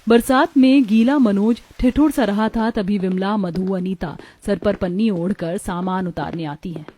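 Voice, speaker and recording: A woman's voice: medium pitch, measured pace, dark tone. A woman narrating a scripted cartoon story as its storyteller.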